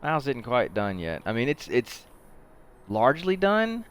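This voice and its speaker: A man's voice: mid-range voice, wildly swooping pitch, normal speed, a little hiss or hum. A man giving casual let's-play commentary as a game streamer, muttering offhand to himself.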